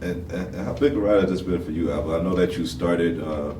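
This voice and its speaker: A man's voice: deep voice, brisk. A man from Los Angeles interviewing actors at a press junket, friendly and affirming. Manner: friendly, frequent "yeah" affirmations. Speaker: entertainment interviewer. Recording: echoey, noisy, from a distance.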